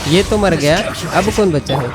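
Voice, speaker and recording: Guy gaming n commenting, super fast chatty talk, amused, game music pretty clear behind him. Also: fairly high pitch. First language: Hindi. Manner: amused, chatty, reacting aloud. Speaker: gaming channel host. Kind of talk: casual gameplay commentary.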